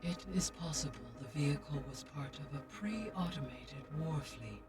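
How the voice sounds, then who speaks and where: A woman voicing a precise, calm AI intelligence in a video game, speaking in a measured, clinical way, heard from a distance with faint music behind. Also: deep voice, lightly acted.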